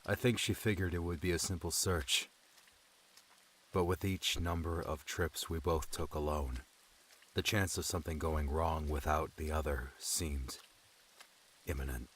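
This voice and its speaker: A man's voice: rumbling low, steady tone, measured pace, fairly bright tone, a little hiss or hum, pitched below the performer's own voice. A man voicing a weary, affectionate adventurer with a subdued, sighing manner in an audiobook. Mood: wistful, weary. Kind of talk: audiobook character dialogue. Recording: music under the voice.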